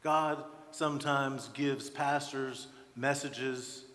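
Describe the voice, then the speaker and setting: A man in his sixties preaching a sermon as a pastor, with deliberate, emphatic phrasing and frequent pauses, in a church. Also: mid-range voice, steady tone, fairly bright tone. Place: large church sanctuary, at the pulpit. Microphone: headset microphone. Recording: reverberant church hall.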